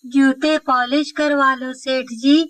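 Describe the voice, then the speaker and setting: Very high-pitched ghostly shoeshine boy voiced by an adult. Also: little pitch movement, slow, a bit bright, a little hiss or hum. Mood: eerie, insistent. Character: poor shoeshine boy who becomes a haunting ghost. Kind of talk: Hindi animated horror story dialogue.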